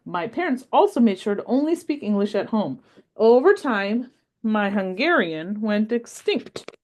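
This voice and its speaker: A woman voicing a wise, patient old grandmother in a read-aloud story, gently telling her granddaughter about her life. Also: mid-range voice, very animated, a little slow, pitched above the performer's own voice. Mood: fond.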